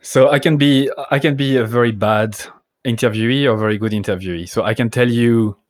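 A man's voice: medium pitch, steady tone, brisk, dry recording, clean studio sound. A man hosting a podcast who answers candidly and reflectively, speaks plainly and swears now and then. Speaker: podcast host. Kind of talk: podcast interview.

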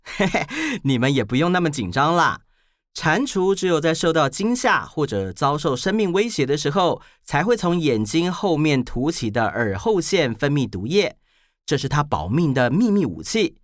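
A woman's voice: low-pitched, sing-song, moderate pace, dry recording, clean studio sound. A woman explaining things playfully to children as a podcast presenter.

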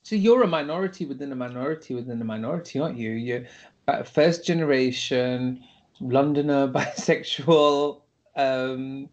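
A jokey podcast host who chats casually and interrupts with playful asides. The voice sits at a middling pitch. The sound is dull and lo-fi. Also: moderate pace.

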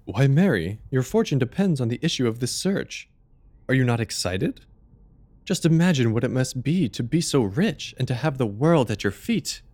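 A man playing an earnest, courteous Victorian gentleman, a detective's loyal companion, in an audio drama, with an eager, polite manner. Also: mid-range voice, big pitch swings, normal speed. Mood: excited.

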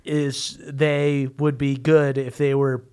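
A mild, deadpan book club member played by a man, speaking slowly and hesitantly. The voice is clear and smooth, in an improvised comedy podcast. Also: medium pitch, natural voice.

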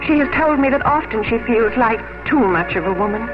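A woman's voice: on the high side, expressive, brisk, phone-quality sound, natural voice. Casting: female actor for tender, proper romantic heroine, earnest and restrained, old-time radio sound over music. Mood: wistful. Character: gentle, earnest, reserved English gentlewoman in love. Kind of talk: 1950s radio romantic drama acting.

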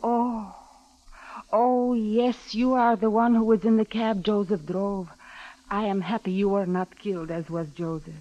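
An actress playing a reserved Egyptian woman with a formal, measured manner in 1940s radio style, on a dull old recording. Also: medium pitch, fairly even intonation, warm tone, natural voice. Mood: guarded, formal.

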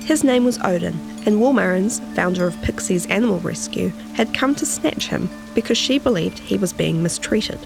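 New Zealand woman journalist, slightly creaky voice. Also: mid-range voice, expressive, normal speed, hardly any pauses, music in the background, rough recording. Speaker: journalist and podcast narrator. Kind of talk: interview chat and scripted feature narration.

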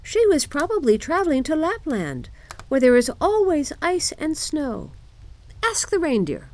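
A little storybook wood pigeon, talking in a sing-song coo. The voice is pitched far up and swoops in a lively way. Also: female voice, measured pace. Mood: helpful. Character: cooing wood pigeons, small high-voiced gossiping birds.

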